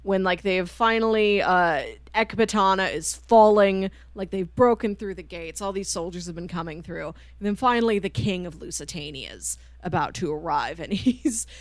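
A woman's voice: on the low side, normal speed, few pauses, no room sound. A woman co-hosting a podcast, retelling stories in casual chat and often chuckling through her sentences. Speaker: podcast co-host. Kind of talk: casual chat reviewing manga.

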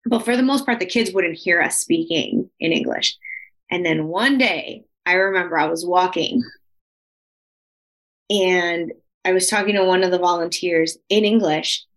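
A woman from the United States co-hosting a podcast, telling stories lively and mixing in Spanish words. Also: measured pace, poor audio. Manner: lively storyteller, slips into Spanish, pitch leaps when quoting. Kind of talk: casual chat.

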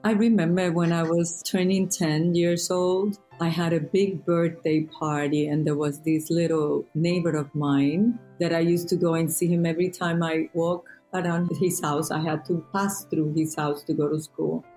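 A woman's voice: low voice, fairly even intonation, normal speed, few pauses. A woman sharing sentimental personal memories in a conversational discussion. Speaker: discussion participant. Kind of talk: personal reminiscence. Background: gentle guitar music.

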